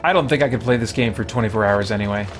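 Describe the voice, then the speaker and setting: A man's voice: fairly low pitch, very fast. A man giving casual live commentary as he plays a video game, thinking aloud in a wry way. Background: video game music.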